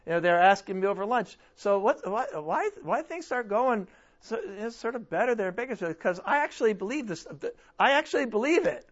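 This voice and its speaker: A man preaching a sermon with a strained voice, nearly worn out, asking the congregation rhetorical questions and swinging between loud and soft. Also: sits high, expressive, a little fast, highs cut off. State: strained, almost losing his voice. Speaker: pastor.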